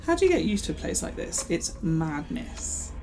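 A woman's voice: fairly low pitch, very animated, moderate pace, warm tone, soft background music, low-quality recording. A woman playing a bemused, ordinary young woman caught up in an alien adventure, in an audio drama. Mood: relieved. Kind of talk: fan audio drama acting.

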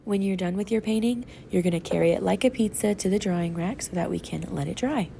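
A woman's voice: mid-range voice, a little fast, vocal fry, mellow, soft background music. A woman art teacher, sick and stuffed up, patiently talking young kids through a painting demo as she works. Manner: patient, instructive, talks while working. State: sick, congested and sore-throated. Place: classroom table. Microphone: off-camera voice over an overhead tabletop camera.

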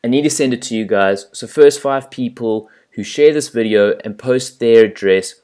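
Man, South African accent, casual screen-recording walkthrough, self-deprecating, ums and restarts, middle pitch. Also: moderate pace, darkish tone. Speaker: channel host.

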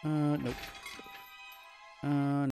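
A man's voice: on the high side, completely flat delivery, extremely slow, gravelly, warm tone. A man giving casual live gameplay commentary, thinking aloud in short, slangy remarks. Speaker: video game streamer. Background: game music.

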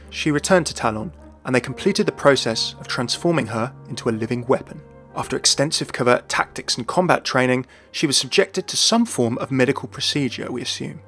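A man's voice: mid-range voice, a bit bright. A man reading scripted narration as the narrator of a video game lore explainer.

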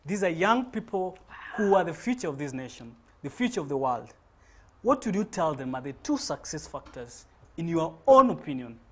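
A man's voice: on the high side, big pitch swings, normal speed. A man hosting and moderating a webinar panel in a studio, speaking in a formal, announcer-like way. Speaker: host, moderator. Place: studio set.

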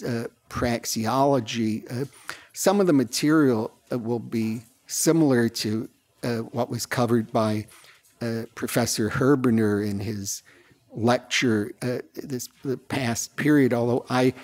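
An older man with a low voice giving a university lecture at a lectern, with dry humor and many "uh" hesitations. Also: a little mellow. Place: lecture room with audience. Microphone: lectern microphone.

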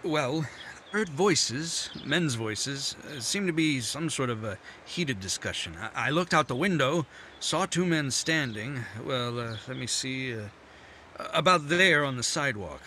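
An actor playing a nervous, scheming newspaperman in a 1950s-style radio mystery, smooth on the surface but stumbling over his words. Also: medium pitch, lively intonation, measured pace, bright, forceful tone, slight background noise. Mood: guarded. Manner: smooth but hesitant, stammering "I, I". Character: nervous, scheming middle-aged male newspaperman. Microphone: headset.

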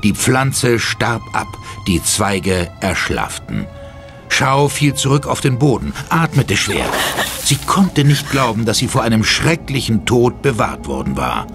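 A man's voice: medium pitch, sing-song, a little slow, music in the background. A man giving dramatic scripted narration as the narrator of a German horror audio drama.

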